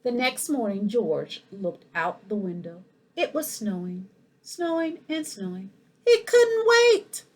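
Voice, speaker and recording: Female storyteller for picture books: husky edge, animated and swooping, engages young listeners directly. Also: on the high side. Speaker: school principal reading a picture book aloud. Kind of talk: expressive children's read-aloud with asides to listeners.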